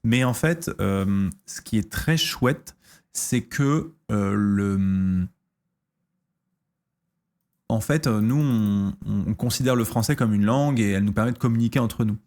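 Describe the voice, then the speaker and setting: A man in his thirties from France talks casually on his stream, answering viewers' questions. His voice sits a little low, and he halts often, restarting sentences and filling gaps with hesitations. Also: a little slow, a little mellow. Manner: hesitant, lots of fillers and restarts.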